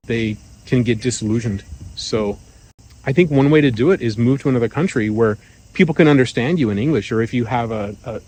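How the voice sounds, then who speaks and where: A middle-aged man talking in a relaxed, rambling way, with many fillers and restarts, as a vlogger sharing his views. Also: medium pitch, slight background noise, rough recording. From United States. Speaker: vlogger living abroad. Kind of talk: casual monologue.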